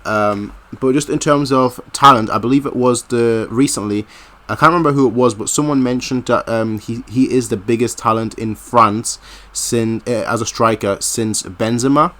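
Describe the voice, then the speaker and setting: A man's voice: mid-range voice, moderate pace, fairly bright tone. A man hosting a casual football interview, hesitant and wordy as he rephrases his questions. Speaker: host, interviewer.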